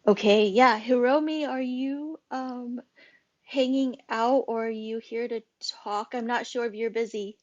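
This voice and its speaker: A woman's voice: on the high side, steady tone, a little slow, no room sound, dull audio. A woman hosting a casual social audio room, talking in a loose, rambling way with many fillers and restarts. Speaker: host and club moderator.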